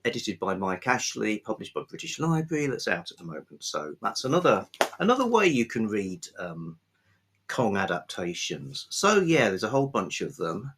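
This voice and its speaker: A man hosting an informal book review, talking off the cuff in a halting, thoughtful, enthusiastic way. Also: on the low side, very animated, a bit bright, slight background noise. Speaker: book reviewer, video host. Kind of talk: unscripted book talk to camera.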